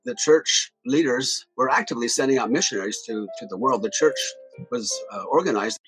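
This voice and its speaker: An older man who speaks in a measured, explanatory way as a retired professor recounting history. He steps through dates and events in order. Soft music sits faintly behind his voice. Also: medium pitch, rough recording. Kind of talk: explanatory interview.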